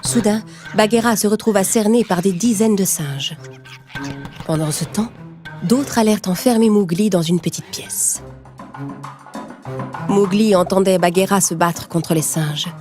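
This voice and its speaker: A woman's voice: mid-range voice, unhurried, pauses often, warm tone. A woman storyteller reading a scripted children's tale in narration style. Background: music.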